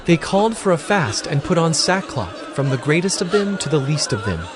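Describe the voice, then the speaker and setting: Casting: male narrator for dramatized scripture, animated intonation, slightly high voice, faint music behind. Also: fairly quick, a crowd in the background, background noise.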